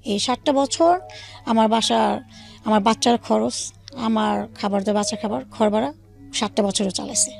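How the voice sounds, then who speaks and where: High-voiced woman telling her life story. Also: moderate pace, vocal fry, a little mellow, soft background music. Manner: recounts in detail, quoting past conversations as dialogue. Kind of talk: personal storytelling in a radio interview.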